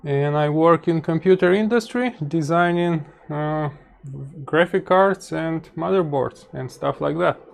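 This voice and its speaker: A man's voice: on the high side, gravelly, slight background noise. A man from Ukraine with a Ukrainian accent, musing half to himself as he works on electronics in his home workshop. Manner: slow, musing, mutters to himself while searching. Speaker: electronics hobbyist host. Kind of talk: casual workbench commentary.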